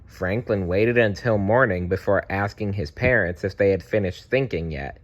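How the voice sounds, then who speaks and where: A man narrating a children's storybook aloud in an expressive, deliberate way. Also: lowish voice.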